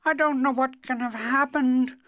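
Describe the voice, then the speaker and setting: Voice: high-pitched, steady tone, normal speed, fairly bright tone, no room sound, studio quality, voice pushed up. A storyteller voicing a nervous, forgetful old wizard who hesitates and stammers in a muddled way. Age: older.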